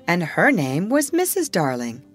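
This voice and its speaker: A woman voicing a young girl who tells a bedtime story to a crowd of boys in a gentle, motherly tone. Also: lowish voice, wildly swooping pitch, measured pace, voice pushed up, full character voice. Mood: storytelling.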